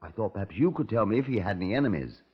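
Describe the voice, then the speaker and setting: An actor playing a courteous, wry Scotland Yard inspector, narrating his case in a measured radio crime drama style. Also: on the low side, expressive, slightly rough. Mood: calm, probing. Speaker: detective narrator. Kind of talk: 1950s radio crime drama, first-person narration and dialogue. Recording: dull, muffled 1950s radio recording.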